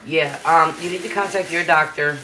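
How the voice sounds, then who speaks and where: A raspy-voiced woman in her forties with a drawl chats with livestream viewers and greets them by name while she cooks. Her voice sits fairly low, and she talks into a tablet propped on a home kitchen counter. Steady background noise runs under the talk. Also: normal speed. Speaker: cooking livestream host. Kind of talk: casual livestream chat while cooking. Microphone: tablet on the counter, close.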